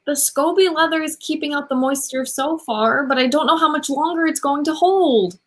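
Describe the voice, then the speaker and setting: Proud, dry-witted eco-inventor played by a woman, higher-pitched. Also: moderate pace, close to an everyday voice. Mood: exasperated. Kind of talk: comedic radio play acting over video call. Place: room at home, on a video call.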